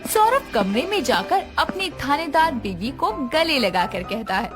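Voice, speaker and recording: Female storyteller, animated intonation, slightly high voice, scripted cartoon narration, background music. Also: measured pace.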